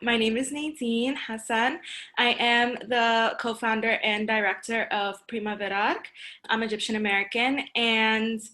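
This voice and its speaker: A young woman introducing herself as a guest on an interview. Her voice is fairly high and sharply bright, and she speaks directly and earnestly. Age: young adult. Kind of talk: self-introduction.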